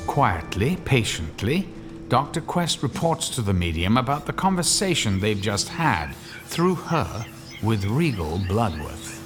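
A man playing the grave narrator of a radio ghost drama, reading in a measured, portentous, suspenseful way. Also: lowish voice, sing-song. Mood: ominous. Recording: loud music behind the voice.

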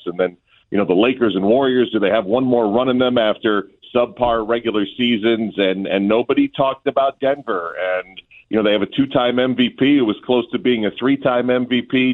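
A man guesting as a play-by-play announcer, giving casual sports analysis over a narrow, phone-like connection. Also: lowish voice. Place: remote link from an arena. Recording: narrow tinny phone-like line.

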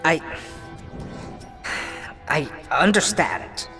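Low, halting, blunt creature. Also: female voice, big pitch swings, gravelly, bright, forceful tone, big-room sound, faint music behind, exaggerated voice. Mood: hesitant, puzzled. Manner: halting, terse, blunt, avoids questions. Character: halting, blunt non-human pack creature, a lone outsider. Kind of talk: fantasy audio drama acting.

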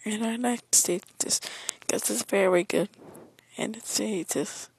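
Slow, silly woman on a noisy homemade clip. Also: medium pitch, gravelly. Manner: silly, repeats words with odd pauses. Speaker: listener who sent in a podcast bumper. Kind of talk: rambling, repetitive homemade podcast bumper.

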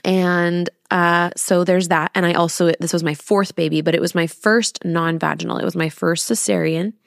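A woman in her thirties hosting a podcast in a casual, confiding way, using emphatic rhetorical questions and drawn-out words. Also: fairly low pitch, steady tone, creaky, dry recording, studio quality. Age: 30s. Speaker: podcast host.

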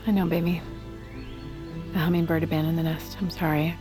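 A woman playing a tender, regretful maternal figure in an intimate audio drama, soft and gentle in manner. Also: low-pitched, little pitch movement, fairly quick, close to an everyday voice. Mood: gentle, sad. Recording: music under the voice.

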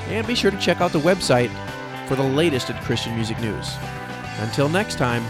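Casting: man, average pitch, scripted podcast host read, strong music bed. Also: sing-song, moderate pace. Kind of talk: scripted show sign-off narration. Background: loud guitar music.

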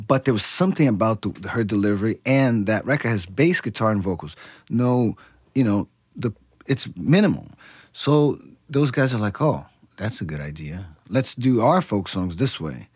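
A man's voice: on the low side, expressive, moderate pace, a little mellow, slightly rough, no echo, studio quality. A man from Brazil, a musician, talking casually in an interview with many restarts and fillers. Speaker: musician guest.

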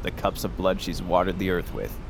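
An actor playing a grieving, enraged young grandson bent on vengeance in an audio drama, with impassioned, formal, old-world phrasing. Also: male voice, sits low, brisk, gravelly, noisy background. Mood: bitter grief. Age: young adult. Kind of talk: audio fiction drama acting.

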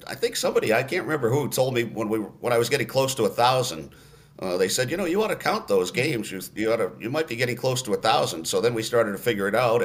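A man in his late sixties talks through his memories at an easy, unhurried pace. His voice sits low, and he often trails into fillers before picking the thought back up. He sounds like a seasoned radio announcer chatting in a podcast. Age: 60s. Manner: unhurried storyteller with many fillers and restarts. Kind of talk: relaxed reminiscing in an interview.

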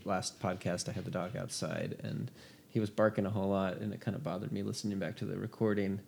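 Low gravelly man hosting a podcast. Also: steady tone, fast talker, a little mellow, slight background noise. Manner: hesitant, trailing fillers. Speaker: podcast host. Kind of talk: casual music chat. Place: home.

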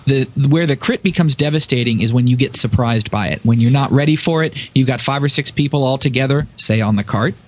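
A man's voice: medium pitch, a little fast, few pauses. A man in a casual gaming podcast discussion, explaining emphatically and talking through examples. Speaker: podcast panelist discussing game tactics.

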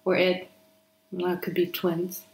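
A woman's voice: lowish voice, monotone, measured pace, creaky. A woman of twenty-nine in casual conversation at home, answering her partner in a matter-of-fact way. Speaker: partner being interviewed. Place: kitchen, at the table during a meal. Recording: roomy echoing home recording.

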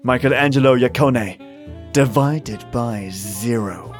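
A man's voice: mid-range voice, takes it slowly. A man hosting an old-time radio podcast, wrapping up with playful, effusive thanks to his supporters. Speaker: podcast host. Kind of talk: podcast outro with listener thanks. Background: faint music.

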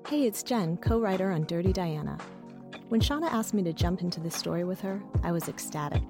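Lower-pitched woman reading a sponsor ad. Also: lively intonation, a little fast, music in the background. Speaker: podcast co-writer reading a sponsor ad. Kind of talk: scripted ad read.

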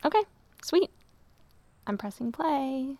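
Playful, high-voiced girl. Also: animated, slow, warm tone, dry recording, heavily acted. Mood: teasing. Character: playful, teasing young girl at movie night. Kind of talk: casual dialogue in audio drama.

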